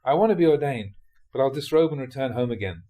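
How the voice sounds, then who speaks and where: A man's voice: medium pitch, expressive, fast, warm tone, close to an everyday voice. Man voicing a torn, protesting village fisherman. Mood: pleading. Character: conscience-torn village fisherman, pleading and self-excusing.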